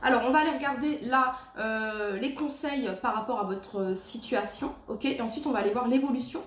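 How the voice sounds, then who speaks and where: A woman giving a casual tarot reading at home, chatty and full of fillers, reading the card texts slowly and gently. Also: on the high side, echoey room. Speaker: tarot reader. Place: her home. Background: cleanup noise outside.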